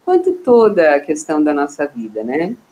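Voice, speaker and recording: A woman's voice: low-pitched, wildly swooping pitch, moderate pace. Woman hosting a live chat. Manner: warm, conversational, with frequent "né". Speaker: host, portal director. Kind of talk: Facebook live interview.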